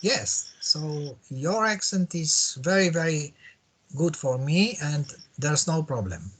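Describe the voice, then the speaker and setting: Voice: mid-range voice, expressive, slow pace, a bit bright, slight background noise. A Polish-accented English learner chatting casually, speaking hesitantly and repeating phrases. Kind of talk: casual practice conversation.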